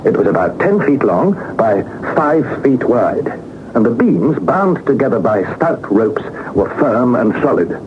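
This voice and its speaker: An actor playing an earnest young British adventurer who tells of a perilous journey in a dramatic, literary 1960s radio drama style. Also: male voice, medium pitch, big pitch swings, measured pace. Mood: wondering. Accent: British English. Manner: dramatic, literary storytelling with rhetorical flourishes. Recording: muffled, noisy 1960s radio recording.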